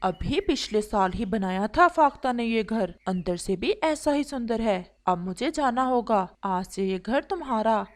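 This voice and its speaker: A generous male pigeon voiced by a woman in a children's cartoon fable. His voice is somewhat high and very animated, with an obliging, neighbourly manner. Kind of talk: Hindi cartoon storybook dialogue.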